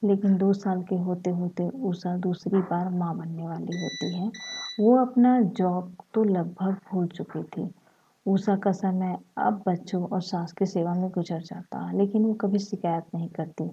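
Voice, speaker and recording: A woman with a slightly husky voice, narrating a short story. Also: fairly low pitch, fairly even intonation, measured pace, mellow, highs cut off.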